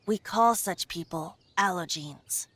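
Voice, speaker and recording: A woman's voice: on the low side, slow pace, fairly bright tone. A youthful male bard with an old god's wistfulness. The delivery is light, boyish and airy, like an amused storyteller in an anime game. A faint noise sits behind the voice. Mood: confiding. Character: youthful male bard, secretly an ancient wind god, wistful, playful. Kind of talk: anime-style game voice acting.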